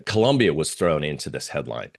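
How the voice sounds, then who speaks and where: A man giving unscripted analysis as an interview guest, talking through slides in a halting, rambling way with pauses and repeated phrases. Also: extremely low pitch, sing-song, vocal fry. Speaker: interview guest, geopolitical oil trading analyst.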